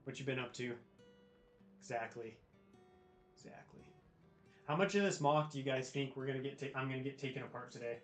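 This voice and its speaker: A man's voice: mid-range voice, fairly quick, pauses often, a bit bright. American man livestreaming in an echoey room. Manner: laid-back, slangy, addresses viewers by name. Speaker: livestream host. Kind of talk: casual chat with live viewers. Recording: roomy echo with music behind. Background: music, guitar.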